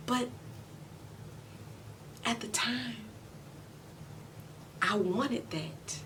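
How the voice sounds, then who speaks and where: A woman in her forties playing a weary, proud, loving mother in an emotional stage monologue, speaking deliberately with heavy pauses. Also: sharp tone, heavily acted. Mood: regretful. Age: 40s. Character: weary, proud middle-aged mother and widow, wounded but loving. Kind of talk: emotional stage monologue, self-taped audition. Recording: distant mic, noticeable low rumbling noise.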